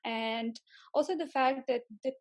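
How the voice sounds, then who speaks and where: A woman from Romania, answering interview questions casually and enthusiastically, often pausing to search for words. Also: high voice, a little mellow, no room sound. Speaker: interview guest, tech manager.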